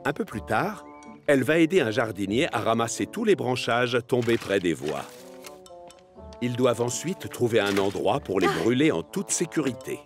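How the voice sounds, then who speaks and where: A man's voice: mid-range voice, big pitch swings, normal speed, soft background music. A man narrating a children's cartoon story in a scripted storytelling style.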